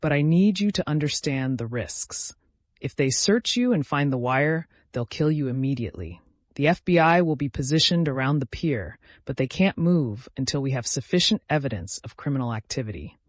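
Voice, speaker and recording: A man's voice: medium pitch, expressive, normal speed, no echo, natural voice. A man voicing a professional, direct woman prosecutor with controlled tension in a dramatic audio story. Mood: stern warning.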